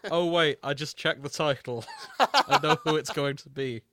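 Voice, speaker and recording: A man's voice: a little high, big pitch swings, moderate pace, sharp tone. A man co-hosting a podcast in casual, joking chat. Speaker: podcast co-host and reader.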